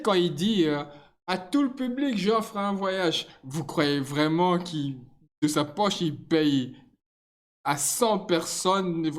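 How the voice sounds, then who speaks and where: A middle-aged man, not a native French speaker, chatting casually to his viewers with frequent laughs and halting restarts. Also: on the high side. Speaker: channel host.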